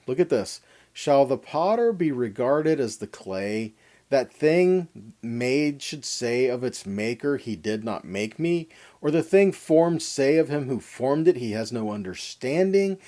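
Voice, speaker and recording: A man with a mellow, relaxed tone delivers a teaching sermon. His pitch moves expressively as he stresses key words, reads scripture and puts pointed questions to the listener. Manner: emphatic, dramatic stresses, rhetorical questions to listeners. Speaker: Bible teacher giving a weekly Torah portion lesson.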